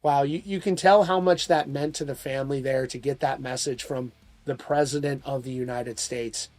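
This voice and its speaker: A man's voice: medium pitch, fairly even intonation, moderate pace, a little mellow. A man hosting a music news show, delivering scripted narration about current stories.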